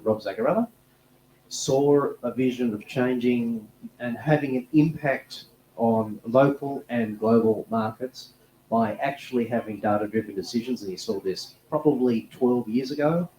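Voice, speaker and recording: A man with a warm, mellow tone speaks easily on a panel, restarting phrases as he goes. The room is echoey, with faint noise in the background. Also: lowish voice. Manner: relaxed, with restarts and repeated words. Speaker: panelist, technology executive. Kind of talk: panel discussion.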